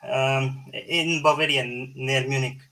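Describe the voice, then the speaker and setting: A man's voice: mid-range voice, steady tone, measured pace, pushed and bright, low-quality recording. A man from Pakistan calling into a livestream, speaking politely and hesitantly with frequent fillers. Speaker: caller. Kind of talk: call-in conversation.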